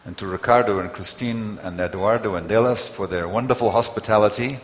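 A man preaching in English through an interpreter, speaking in short phrases with pauses left for the translation. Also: fairly low pitch, a little hiss or hum. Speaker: guest preacher. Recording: long hall reverb.

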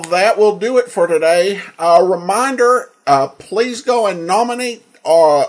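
An American man hosting a podcast with informal commentary, hesitant and full of filled pauses. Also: high-pitched. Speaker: podcast host.

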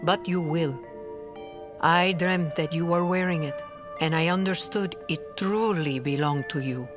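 A mysterious older matriarch in a supernatural audio drama. She is solemn and knowing, taking her words very slowly over a clear music bed. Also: sits low. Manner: measured, portentous. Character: mysterious older aunt, solemn mystic, affectionate and commanding.

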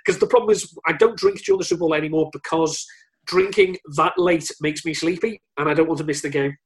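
A man with a moderately high voice talks on a sports podcast. He chats informally, with a wry streak and a readiness to poke fun at himself. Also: expressive, quick pace. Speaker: podcast co-host or guest. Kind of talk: casual sports chat.

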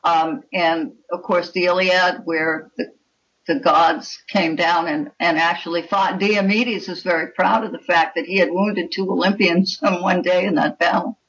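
A woman's voice: fairly low pitch, steady tone, a little slow, a bit bright. Dull-sounding recording of an erudite woman author. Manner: erudite, explanatory. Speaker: author, podcast guest. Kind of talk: discursive interview answer. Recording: dull lo-fi audio.

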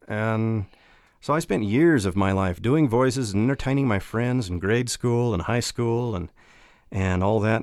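A middle-aged man with a fairly low voice speaks casually, telling anecdotes in a reflective way. The sound is dry, with very little room. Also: dry recording. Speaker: voice actor. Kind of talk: casual anecdotal interview talk.

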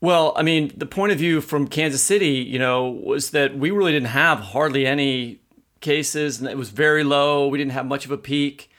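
A man's voice: medium pitch, normal speed, fairly bright tone. A middle-aged man with an American accent, co-hosting an interview podcast in an informal, rambling, conversational way. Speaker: podcast co-host.

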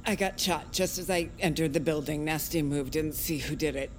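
A woman's voice: low-pitched, normal speed, soft background music, close to an everyday voice, low-quality recording. Woman playing a wounded, curt female agent. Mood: pained, terse. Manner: terse, understated. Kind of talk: scripted audio drama acting.

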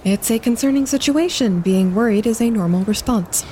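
An actress playing an earnest, composed wilderness photographer on expedition, matter-of-fact and measured, in a naturalistic audio drama. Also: female voice, dark tone, natural voice. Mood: concerned. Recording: clear outdoor ambience and rumble.

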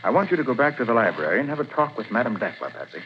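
An actor playing a confident private detective in a 1940s radio drama, talking in a brisk, assured way. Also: male voice, mid-range voice, big pitch swings, natural voice. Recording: dull lo-fi 1940s radio recording with loud hiss.

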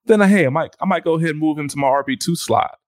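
A man's voice: on the high side, racing, no echo, clean studio sound. A man co-hosting a fantasy football podcast in casual chat, often restarting his phrases.

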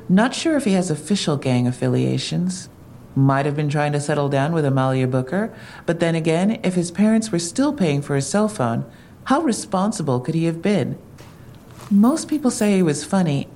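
Low-voiced, probing female detective. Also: expressive, moderate pace, warm tone, a little hiss or hum. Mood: wry, businesslike. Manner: matter-of-fact, questioning. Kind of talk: audio drama acting.

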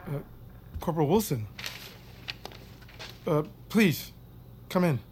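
An actor playing a cautious, conscientious army psychiatrist in an audio drama, measured and slightly hesitant as he reasons. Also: a little high, expressive, darkish tone, slight background noise. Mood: uneasy, polite.

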